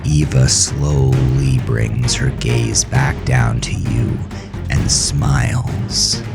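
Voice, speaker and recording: A man's voice: rumbling low, lively intonation, very slow, vocal fry. A man reading his own horror story as a dramatic, intense scripted narration. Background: music under the voice.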